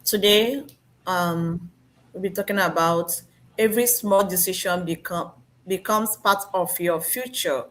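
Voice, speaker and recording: A woman from Nigeria, a nurse, introducing herself on an online panel and reading out discussion points clearly and politely. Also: on the low side, a little slow, a bit bright. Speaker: panel guest, registered nurse.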